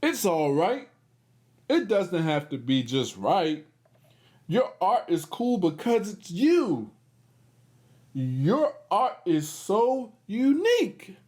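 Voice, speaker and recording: A man plays a gang of young animal friends in a children's storybook. They sound upbeat and reassuring, with a high, swinging pitch and an unhurried pace. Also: big pitch swings, darkish tone, full character voice.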